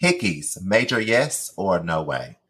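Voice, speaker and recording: Male podcast co-host, late twenties, husky and low-pitched, blunt and lively. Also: slow pace. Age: 20s. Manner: blunt, animated, cursing freely. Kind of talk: casual chat.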